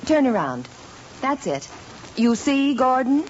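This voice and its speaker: A woman's voice: sits high, very animated, takes it slowly, dull audio, slight background noise, exaggerated voice. A woman playing a prim, politely insistent shopper in a 1950s radio sitcom.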